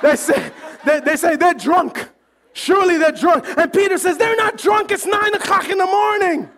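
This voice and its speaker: A pastor preaching a sermon with animated delivery, joking and acting out the voices of the crowd. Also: male voice, extremely high pitch, normal speed.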